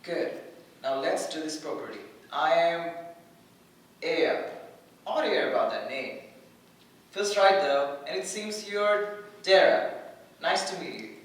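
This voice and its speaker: A man's voice: a little high, unhurried, pauses often, close to an everyday voice. A man playing a fussy, anxious, chatty air elemental in a staged play reading, prim and fretful in manner. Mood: prim, chiding. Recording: echoey room from far off.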